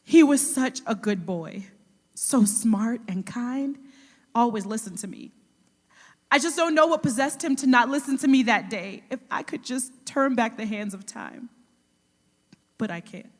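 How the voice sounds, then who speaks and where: A woman's voice: fairly high pitch, lively intonation, measured pace, big-room sound. A woman in an audio drama playing a loving, protective mother with an earnest, emotional delivery. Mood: grief-stricken. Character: loving, protective mother, grief-stricken over her son.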